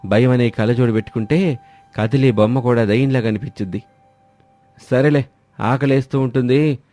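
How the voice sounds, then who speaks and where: An actor playing a kind, stubborn village man who lives alone, speaking gently and reassuringly. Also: mid-range voice, normal speed, soft background music, lightly acted.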